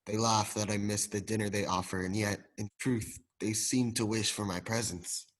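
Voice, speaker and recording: Casting: young male actor as stubborn, pleading old miser for radio drama, low-pitched. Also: steady tone, moderate pace, close to an everyday voice. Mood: wistful. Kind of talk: radio play acting.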